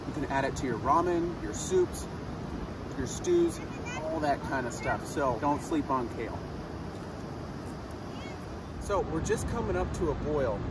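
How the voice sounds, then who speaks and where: Chatty upbeat guy cooking on a beach, voice a bit high, mic kinda far off. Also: heavy background noise. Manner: enthusiastic, chatty. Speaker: outdoor cooking host. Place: outdoors on a sandy beach. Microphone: camera a few feet away. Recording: distant mic, loud outdoor ambience.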